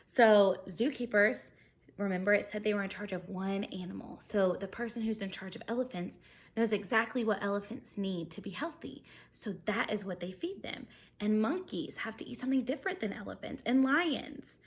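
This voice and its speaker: A woman's voice: medium pitch, normal speed. A woman teacher reading a children's picture book aloud expressively, explaining things simply and putting questions to her young listeners.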